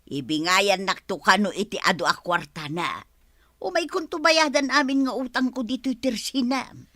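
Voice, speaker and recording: A woman's voice: mid-range voice, normal speed, sharp tone, no room sound, natural voice. A woman with an Ilocano accent playing a bossy, money-minded village wife in a comedy radio drama, scolding and ordering. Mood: boastful.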